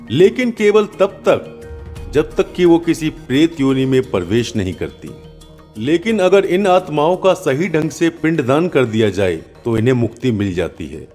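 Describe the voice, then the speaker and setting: A man hosting a horror podcast, delivering scripted narration in a dramatic, suspenseful way with emphatic, drawn-out key words. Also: on the high side, a little mellow. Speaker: host of a horror story podcast. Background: background music.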